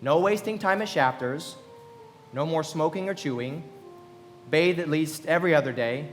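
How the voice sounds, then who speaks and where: A man voices an earnest, self-improving youth in a radio drama. His fairly high voice recites in clipped items, with music behind it and the reverb of a hall. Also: moderate pace, natural voice. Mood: earnest, resolute. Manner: clipped, list-like delivery. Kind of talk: live radio play recitation.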